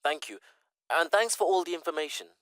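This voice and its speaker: A man's voice: on the high side, fairly quick, fairly bright tone, no echo, clean studio sound, natural voice. A man acting a polite, careful student making an enquiry in a scripted listening-test dialogue. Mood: polite, eager. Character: courteous eager male Pakistani student enquiring by phone.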